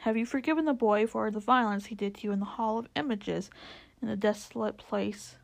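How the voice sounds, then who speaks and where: A wise, regal lion from a children's storybook, played by a woman. He is grave and formal, handing down questions and blessings in measured, solemn pronouncements. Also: medium pitch, creaky, natural voice.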